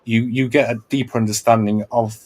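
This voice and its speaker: Earnest lecturing man, middle pitch. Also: level tone, a little fast, darkish tone. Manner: earnest, lecturing, many restarts and repeated "right?". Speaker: podcast host. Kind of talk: casual podcast chat.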